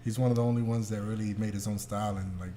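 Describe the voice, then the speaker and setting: A man's voice: fairly low pitch, little pitch movement, very fast, mellow, slight background noise. A man hosting a podcast, chatting informally and bluntly and putting questions to the others. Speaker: podcast host. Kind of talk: casual chat.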